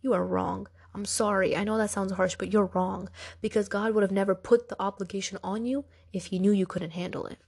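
Congested young woman, mellow soothing voice. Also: medium pitch, steady tone, brisk, vocal fry, slightly rough. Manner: chatty, direct, addresses listeners as girl and y'all. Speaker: podcast host. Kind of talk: casual motivational talk.

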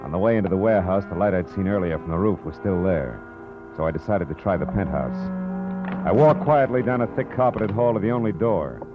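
A hard-boiled private eye from a vintage radio drama, low-voiced and dry, tossing off sarcastic wisecracks. The sound is muffled old broadcast audio with music underneath. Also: male voice, expressive, a little fast. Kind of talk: 1950s radio drama narration and acting.

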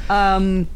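A middle-aged woman co-hosting a podcast, telling stories in a casual, animated and joking way. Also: medium pitch, a little hiss or hum. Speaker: podcast co-host. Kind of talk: casual chat, storytelling.

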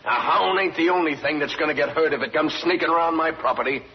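An actor playing a hostile, domineering sheep-farmer father in a radio drama, gruff and curt, with a rural American accent. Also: a little high, fast talker. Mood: angry threatening. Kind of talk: old-time American radio drama acting. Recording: muffled, noisy old radio recording.

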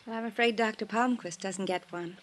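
An actress playing a polite, friendly doctor's receptionist in 1950s radio drama style. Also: female voice, medium pitch, fairly quick, a bit bright, natural voice. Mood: friendly, apologetic.

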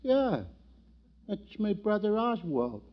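An actor playing a slow-witted, cheerful goofy man in an old radio comedy, with a dopey, drawn-out delivery. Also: high-pitched, big pitch swings, exaggerated voice. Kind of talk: 1940s radio comedy acting. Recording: muffled old radio recording, faint noise.

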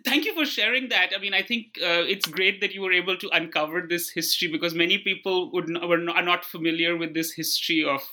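A man's voice: sits high, fairly even intonation, fast talker, hardly any pauses, pushed and bright. A man hosting an interview podcast, politely and formally introducing his guest and asking questions.

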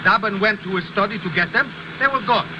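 An actor playing a fussy, plaintive foreign reporter in a 1950s radio drama, with formal phrasing. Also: male voice, high voice, fairly quick, close to an everyday voice. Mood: earnest. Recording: noisy vintage radio recording.

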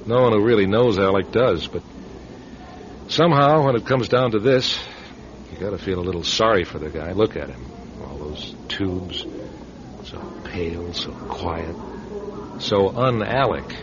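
A man's voice: low-pitched, lively intonation, measured pace. A man playing a wry, sardonic middle-aged radio station manager in a conversational radio drama style. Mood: wry, somber. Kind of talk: radio drama narration and dialogue. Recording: dull, hissy old radio recording.

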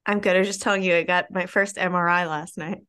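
A woman's voice: lowish voice, steady tone, quick pace, fairly bright tone, smooth. A woman co-hosting a podcast, chatting casually, laughing often and using lots of fillers. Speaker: podcast co-host. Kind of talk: casual chat.

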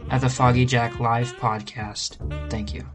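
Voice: medium pitch, little pitch movement, unhurried, faint music behind. A podcast announcer reading a scripted network announcement and helpline message.

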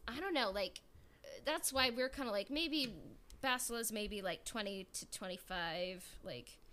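A woman's voice: on the high side, unhurried, bright, forceful tone. A woman hosting a casual podcast chat, rambling and peppering her talk with "like" and "you know". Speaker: podcast host.

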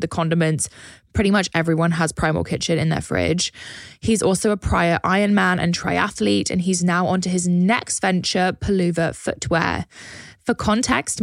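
Brief: woman podcast host, slightly hoarse, gravelly fry, chatty and enthusiastic, dry close sound. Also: fairly low pitch, no room sound. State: slightly hoarse, losing her voice. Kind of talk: casual podcast chat.

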